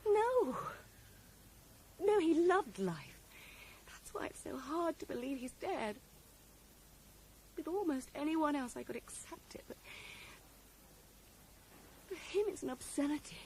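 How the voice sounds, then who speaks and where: A woman's voice: high voice, big pitch swings, slow pace, long pauses, exaggerated voice. An actress playing a grieving, guarded and bitter woman in a radio drama, wistful yet curt with her questioner. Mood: bitter grief. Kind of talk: 1980s radio drama acting. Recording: noisy 1980s radio broadcast recording.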